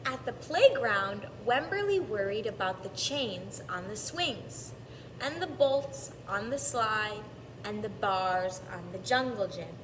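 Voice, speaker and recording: A woman reading a storybook aloud to preschoolers in a deliberate teacher's manner, stretching out repeated words and pausing to explain. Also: mid-range voice, expressive. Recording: echoey, distant mic, clearly noisy background.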